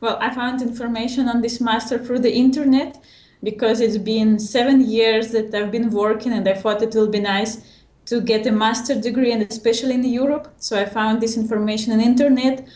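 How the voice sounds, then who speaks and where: A woman's voice: on the high side, steady tone, a little mellow. Russian-accented woman in an echoey room. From Siberia, Russia. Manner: hesitant, fills pauses with "uh" and "well". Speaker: master's graduate. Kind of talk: casual interview.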